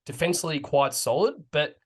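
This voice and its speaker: A young Australian man co-hosting a casual football podcast, his voice a little croaky, relaxed and loose with plenty of fillers and the odd swear. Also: a little high, a little fast. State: slightly croaky voice. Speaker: podcast co-host. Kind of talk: casual sports chat.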